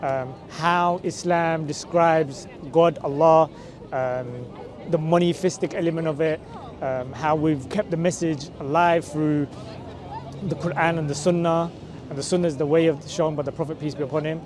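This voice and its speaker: A man's voice: on the high side, steady tone, normal speed, a crowd in the background. A man, talking outdoors in a busy city square and pressing his questions with persuasive, repeated checks like "right?". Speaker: street preacher. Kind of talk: street conversation. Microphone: camera mic from a few meters.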